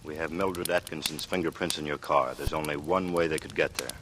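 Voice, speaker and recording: Very deep-voiced, earnest American policeman. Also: fairly even intonation, fairly quick. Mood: grave, insistent. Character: earnest, pained policeman and old friend of the killer. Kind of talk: 1940s radio drama acting. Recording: noisy vintage 1940s radio recording.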